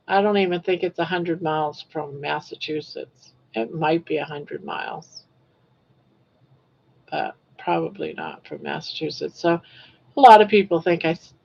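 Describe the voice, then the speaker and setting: An older woman from Maine with a southern Maine accent, hosting a casual livestream chat while reading viewer comments in a rambling, self-correcting way with soft trailing endings. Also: deep voice, a little slow, vocal fry, highs cut off. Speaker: livestream host.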